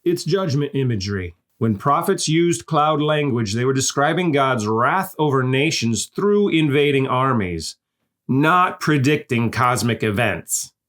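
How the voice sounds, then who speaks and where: A man's voice: mid-range voice, lively intonation, unhurried, fairly bright tone. A man narrating a scripted lesson in an explanatory, didactic way, posing questions and answering them with emphasis. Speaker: narrator of a Bible prophecy course.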